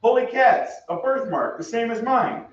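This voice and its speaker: A man's voice: extremely high pitch, lively intonation, normal speed. A man playing a brash young American soldier in an old-time radio play, with breezy, slangy delivery. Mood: amazed, game. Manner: breezy, slangy, hesitant in bursts. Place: theatre stage. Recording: very echoey stage, distant mic, muffled.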